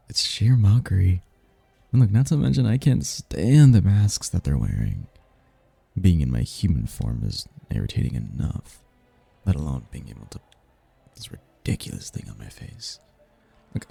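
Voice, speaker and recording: Guy doing a smooth talking demon prince, really low n husky, teasing and seductive, asmr roleplay. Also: dark tone, no room sound, full character voice. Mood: bored, scornful. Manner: teasing, smug, drawn-out flirtatious delivery. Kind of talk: audio roleplay, ASMR-style voice acting.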